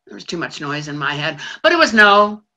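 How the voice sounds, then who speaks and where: An actress playing a lonely, lovesick woman who mops floors at a train station, talking bluntly and haltingly with plenty of swearing. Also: fairly low pitch, sing-song, sharp tone, exaggerated voice. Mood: hurt. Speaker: actor in a monologue. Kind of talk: stage monologue.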